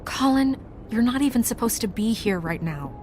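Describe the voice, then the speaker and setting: A woman playing a stern but caring leader in a video game, reserved and proper, with measured, careful delivery. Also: fairly high pitch, animated, a little mellow, slight background noise, lightly acted. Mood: sharp, scolding.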